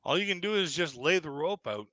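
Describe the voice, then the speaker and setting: A man's voice: fairly high pitch, expressive, a little fast, a bit bright, no room sound. A man hosting a live-stream interview who jokes about himself. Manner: joking, self-mocking.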